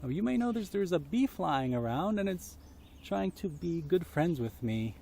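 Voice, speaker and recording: A man's voice: a little high, very animated, normal speed, gravelly, warm tone, a little hiss or hum. A man tells children's stories outdoors in a simple, inviting way, speaking directly to his young listeners. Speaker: storyteller reading for children. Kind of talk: children's storytelling.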